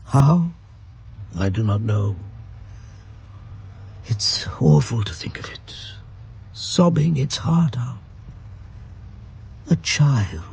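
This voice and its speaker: A man voicing a gentle, mystical old grandfather in a radio drama, speaking slowly and musingly. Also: medium pitch, expressive, creaky, slight background noise, exaggerated voice. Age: older.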